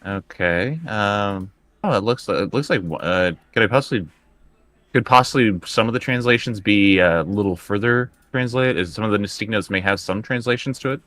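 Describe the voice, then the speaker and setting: A man with a low, bright voice, recorded close and dry, plays a tabletop role-playing game. He improvises casually, often stammering and hesitating as he hunts for the right word. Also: normal speed, no echo. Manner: hesitant, stammering over words.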